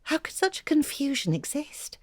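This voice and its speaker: A woman's voice: sits high, very animated, normal speed, a little mellow, dry recording. An actress playing a bookish woman writer who eagerly recounts her research in a confiding, articulate way, as intimate audio drama storytelling. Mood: intrigued, eager.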